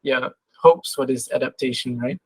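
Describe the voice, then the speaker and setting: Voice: medium pitch, normal speed, slightly rough, no room sound, rough recording. An interviewer moderating a panel in a casual, informal chatting style.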